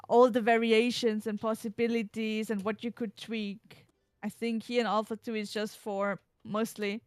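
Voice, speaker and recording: A woman streamer chatting casually about a game while playing, giving measured opinions. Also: fairly high pitch, smooth, no echo, studio quality. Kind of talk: casual gaming chat.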